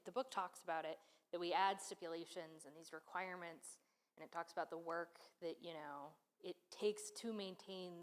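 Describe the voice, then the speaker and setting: A woman giving earnest, halting remarks in a group book discussion, often correcting herself as she goes. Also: lowish voice, a bit bright. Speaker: class participant.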